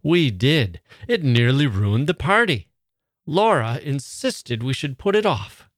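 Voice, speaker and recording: A man voicing a fussy high-strung society mother. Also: big pitch swings, slow pace, no room sound, clean studio sound. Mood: dismissive. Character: tired fussy upper-class mother, brisk and bossy.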